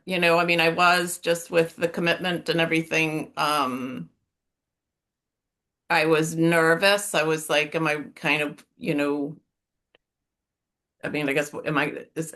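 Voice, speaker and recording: A middle-aged woman with a somewhat low voice and an American-sounding accent talks openly on a video call. She speaks haltingly, stopping and restarting often and leaning on fillers. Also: steady tone, a bit bright. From Northern Ireland. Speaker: coaching client. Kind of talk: candid interview.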